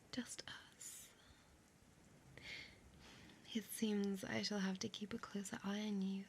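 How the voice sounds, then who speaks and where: A woman playing an ancient, seductive and possessive female vampire in an intimate audio roleplay, speaking in a breathy, teasing whisper close to the mic. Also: fairly high pitch, a little hiss or hum. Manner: slow, drawn-out, teasing delivery.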